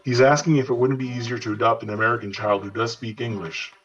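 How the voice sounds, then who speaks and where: A man's voice: mid-range voice, level tone, quick pace, a bit bright, breathy edge. A man playing a matter-of-fact bilingual interpreter in an audio story, on a dull recording. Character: brisk male interpreter relaying between Spanish and English. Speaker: voice actor reading a fiction podcast story. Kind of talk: dramatized short story reading. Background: music under the voice.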